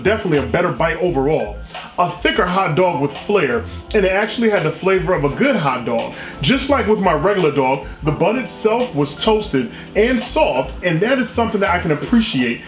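A man in his forties casually reviewing food on camera, slangy and animated, reading his own written review aloud with flourish. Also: on the high side, reverberant. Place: small restaurant dining room, indoors.

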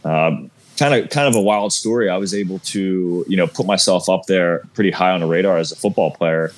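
A man in his thirties with a South Jersey accent, telling his story as a guest over a video call in a relaxed, rambling way. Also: low voice, fairly quick. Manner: relaxed, rambling, many fillers. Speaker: guest, former athlete. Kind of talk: casual interview storytelling. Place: room at home with shelves.

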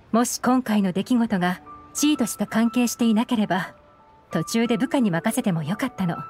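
A woman's voice: fairly high pitch, darkish tone, natural voice. A woman voicing a shrewd, secretive young intelligence agent in an anime game, composed, knowing and slightly teasing.

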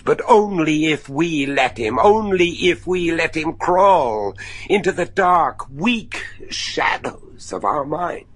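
An actor playing an older, devout judge and anguished father in a gothic horror radio drama, speaking gravely and haltingly with theatrical weight. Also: a little high, fairly bright tone, no room sound, full character voice. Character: anguished, devout judge and father seeking his lost son.

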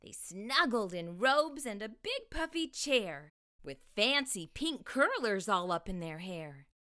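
Bright, animated woman storyteller. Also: mid-range voice. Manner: expressive, deliberate delivery for young children. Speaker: children's storytime host and reader. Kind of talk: read-aloud storytelling for children. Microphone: lapel mic.

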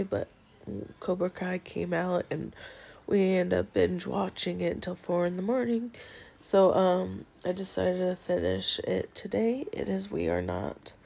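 A woman in her thirties with a hoarse, congested voice from a head cold, chatting casually to her phone while lying in bed. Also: mellow. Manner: congested, low-key, rambling with many fillers. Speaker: book unboxing vlogger. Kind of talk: casual chat to camera. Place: bedroom, lying on a bed indoors. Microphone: phone held close at arm's length.

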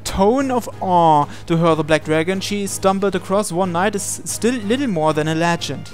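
A man's voice: high-pitched, expressive, normal speed. A man reading visual novel narration aloud in a dramatic storytelling style. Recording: game music playing behind. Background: video game music.

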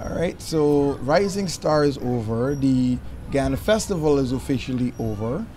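A young man interviewing a guest, asking questions and encouraging her with steady backchannels. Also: mid-range voice, moderate pace, noisy background. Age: young adult. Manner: encouraging, with many "mm-hmm" backchannels. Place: outdoor terrace by a balustrade. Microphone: handheld mic.

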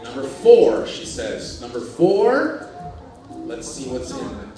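A man hosting a game show on a cruise ship stage, animated and jokey as he calls out the amounts. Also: mid-range voice, slow pace. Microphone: handheld mic, far camera. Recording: echoey hall from far off, loud music.

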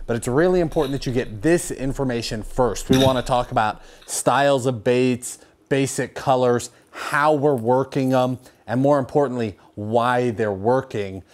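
A young man with a hoarse, slightly raspy voice from a cold teaches to camera in a garage. His pitch sits in the middle, and he talks with energy and gestures as he explains. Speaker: fishing show host. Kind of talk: instructional talk to camera. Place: garage with fishing rods on the wall.

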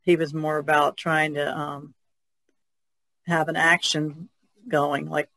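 A woman's voice: sits low, flat delivery, slow pace, fairly bright tone, no room sound. A woman speaking as a panelist in a casual podcast chat.

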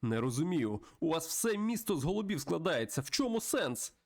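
A man's voice: a little high, big pitch swings, fairly quick, vocal fry, darkish tone, voice pushed up, heavily acted. A man voicing a menacing, arrogant raven villain from a cartoonish western game, speaking in theatrical threats. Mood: scornful.

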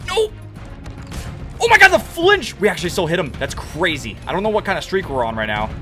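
A young man giving excited gameplay commentary from his home setup on a headset, his voice cracking and hoarse as he swings between mutters and shouts. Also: sits high, brisk, faint music behind. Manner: hyped, exclamatory, jumps between mutters and shouts. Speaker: gaming YouTuber. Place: home gaming setup, indoors.

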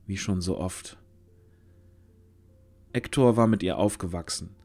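Slightly low-voiced male storyteller. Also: unhurried, darkish tone, faint music behind. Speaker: narrator. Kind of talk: scripted fantasy audio drama narration.

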